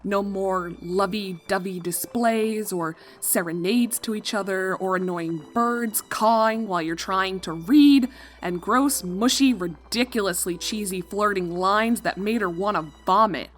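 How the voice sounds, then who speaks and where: A woman reading fan fiction as narrator, expressive and dramatic, leaning on key words. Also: mid-range voice, measured pace. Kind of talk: expressive scripted story narration.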